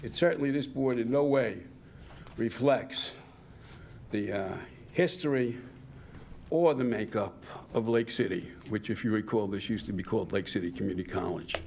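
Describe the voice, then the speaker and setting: Man, gravelly voice, critical public comment, board meeting room mic, some background hiss. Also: lowish voice, a little mellow. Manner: pointed, critical, measured.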